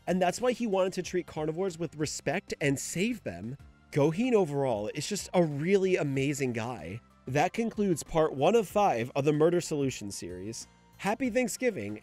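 A young man giving casual video-essay commentary, animated and sometimes ranting, sometimes sentimental. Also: a little high. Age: young adult.

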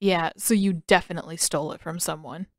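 A woman's voice: lowish voice, fairly even intonation, a little fast, no echo, clean studio sound, pitched below the performer's own voice, close to an everyday voice. A woman voicing an eager, cheeky teenage boy, a blunt and pushy brother, in an audio story. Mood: accusing.

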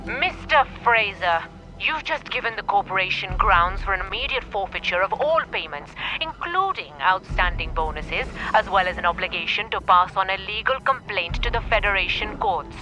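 An actor playing a cold, officious corporate director in a video game, speaking in a formal, condescending way. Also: male voice, high-pitched, animated, normal speed, bright, forceful tone, faint music behind, full character voice, low-quality recording. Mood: cold, stern.

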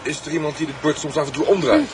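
A man's voice: on the high side, steady tone, fast, a little hiss or hum, lightly acted. A man playing a courteous, inquisitive writer on holiday in a naturalistic radio drama. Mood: puzzled.

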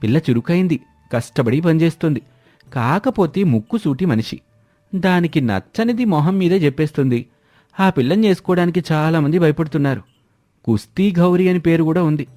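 Man putting on a high-pitched wily village matchmaker. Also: expressive, brisk, warm tone, soft background music, close to an everyday voice. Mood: coaxing. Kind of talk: animated moral story dialogue.

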